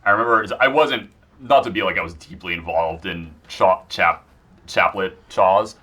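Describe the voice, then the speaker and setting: Deep-voiced, bright-toned male podcast guest. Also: normal speed, slightly rough. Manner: rambling, hedging, frequent "like". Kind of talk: casual podcast conversation.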